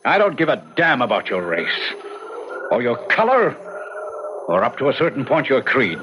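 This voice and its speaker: A man's voice: medium pitch, sing-song, normal speed, close to an everyday voice. An actor playing a stern, indignant middle-aged mayor and father, blunt and commanding, in old-time radio drama style. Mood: angry, indignant. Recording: muffled, noisy old radio recording.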